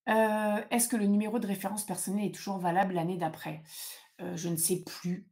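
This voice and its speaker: A woman's voice: medium pitch, little pitch movement, a little fast, a little mellow. Woman giving casual, emphatic live advice. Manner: emphatic, direct, advising listeners. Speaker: host of a live question-and-answer session. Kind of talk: casual informal live Q&A, explaining procedures.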